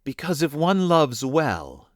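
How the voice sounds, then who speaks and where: Gentle, earnest storybook mother, played by a man; raised pitch, lilting, loving delivery. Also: animated, normal speed, no room sound, clean studio sound, natural voice. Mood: gentle, grave. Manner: tender, earnest, softly admonishing.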